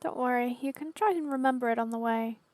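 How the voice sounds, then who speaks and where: A woman's voice: fairly high pitch, fast, darkish tone. A woman giving casual commentary as she plays through a video game. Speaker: game streamer.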